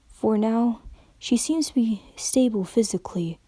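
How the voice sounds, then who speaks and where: A woman voicing a calm male pony doctor who speaks in a measured way. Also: on the high side, slightly rough, no room sound.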